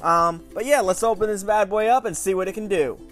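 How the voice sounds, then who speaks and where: Young man, high-pitched voice, informal chatty toy review host, enthusiastic, faint music behind. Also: brisk, fairly bright tone. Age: 20s. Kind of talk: casual video toy review to camera. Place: small room at home.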